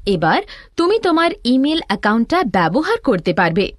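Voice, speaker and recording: A woman's voice: a little high, sing-song, a little fast, no room sound, studio quality. A woman giving scripted instructional narration, explaining things step by step as a tutorial instructor.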